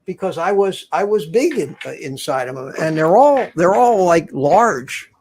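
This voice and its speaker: A man's voice: sits high, lively intonation, normal speed, a little mellow, a little hiss or hum. Chatty man in his seventies. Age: 70s. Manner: chatty, rambling with many restarts. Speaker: livestream host. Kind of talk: casual livestream chat. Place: home studio. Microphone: studio desk mic.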